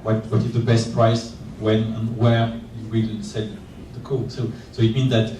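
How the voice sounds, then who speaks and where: A man's voice: on the low side, unhurried, far from the mic, a little hiss or hum. Hesitant male panelist in an echoey room. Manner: hesitant, repeats words, monotone. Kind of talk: panel discussion. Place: conference room.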